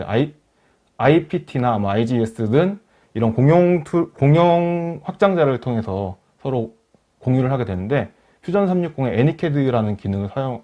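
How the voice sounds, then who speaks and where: Man presenting a software demo, dull audio. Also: medium pitch. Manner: explanatory, polite formal speech. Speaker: webinar presenter. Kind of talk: software demonstration, part rehearsed narration.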